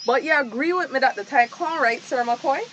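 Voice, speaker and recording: Actress as earnest high-voiced woman. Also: a little fast, a bit bright, muffled recording, slight background noise. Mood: earnest, polite. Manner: polite, explanatory. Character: earnest, respectful female fisheries programme worker. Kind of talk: naturalistic radio drama acting.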